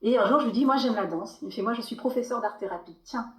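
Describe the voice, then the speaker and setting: A woman with a French accent, a podcast guest telling her story in a loose, animated way with many fillers and restarts. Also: a little high, fast. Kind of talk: casual podcast interview. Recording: echoey room.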